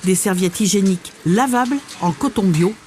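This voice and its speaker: Measured woman report narrator. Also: mid-range voice, warm tone, slight background noise. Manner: measured, informative. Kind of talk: scripted news report narration.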